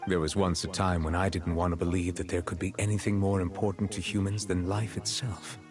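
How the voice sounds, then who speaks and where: A man voices a solemn chief justice for a video game. The voice is very deep, grave and formal. The delivery is measured and reserved, with faint music underneath. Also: flat delivery, lightly acted. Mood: solemn reflection.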